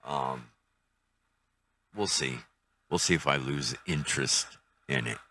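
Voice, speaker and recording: An older man giving a casual hands-on product review, thinking aloud in an unhurried, tentative way. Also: rumbling low, sharp tone, no room sound, clean studio sound. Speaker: radio reviewer.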